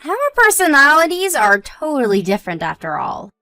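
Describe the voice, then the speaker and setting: A woman playing a supportive, modest young wife in scripted story dialogue, speaking in a measured, reassuring way. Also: sits high, big pitch swings, bright, forceful tone, no room sound, studio quality, faint music behind, heavily acted. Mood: chatty, even-tempered.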